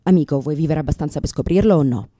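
A woman's voice: deep voice, quick pace, mellow. An actress in a radio theatre monologue playing a brash, sarcastic, exasperated new mother in her thirties. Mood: indignant, sardonic. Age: 30s.

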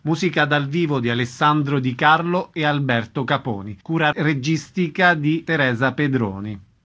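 A man's voice: on the high side, a bit bright. A man reading a scripted radio announcement as an announcer.

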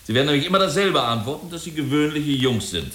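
An actor playing a glib company representative in a German radio drama, polite, wordy and officious. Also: male voice, a little high, lively intonation, fast, fairly bright tone. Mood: jovial, officious. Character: glib, jovial, evasive male company representative. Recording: roomy, echoing studio scene.

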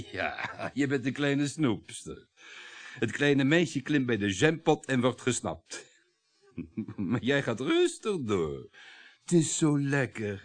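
Actor as mocking, domineering husband with animated voice. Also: male voice, mid-range voice, natural voice. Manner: mocking, talkative, sardonic chuckles. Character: domineering, needling husband and father, sarcastic and uneasy. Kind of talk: Dutch radio play acting.

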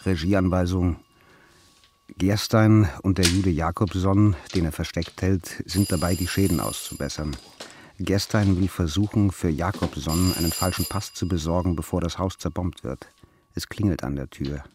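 A man reads scripted stage directions aloud. His voice sits very low, and clear noise with clicks runs behind it. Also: moderate pace. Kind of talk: scripted read-aloud narration. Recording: noisy room with clicks.

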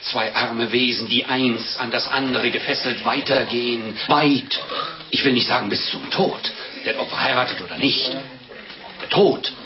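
An actor playing a preachy, long-winded priest with a solemn, halting delivery in an old radio play. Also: male voice, mid-range voice, close to an everyday voice. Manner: solemn, theatrical, halting sermonizing. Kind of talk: 1950s German radio play acting. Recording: muffled, noisy old radio play recording.

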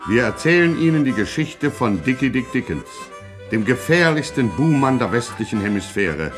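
An actor playing a mock-solemn, pompous narrator of a satirical gangster radio play. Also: male voice, mid-range voice, big pitch swings, moderate pace, over music, natural voice. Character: grandly ironic male narrator of a gangster satire.

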